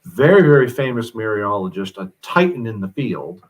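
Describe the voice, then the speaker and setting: A man who is a guest on a talk show, explaining things thoughtfully with many pauses and fillers. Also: lowish voice, big pitch swings. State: mild headache. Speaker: guest commentator. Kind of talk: casual discussion.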